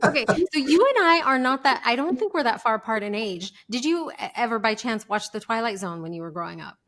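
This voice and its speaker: A woman's voice: a little high, animated, a little fast, a bit bright. A woman with an American accent hosting a casual interview in an informal, enthusiastic way. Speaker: podcast host, interviewer.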